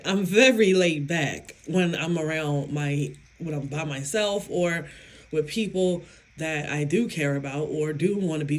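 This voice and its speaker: A woman vlogger and psychic medium talking casually to her viewers, with a hoarse, raspy voice and an emphatic, self-assured way of speaking. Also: low-pitched. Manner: slow, emphatic, self-affirming, laughs often. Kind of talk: casual talk to camera.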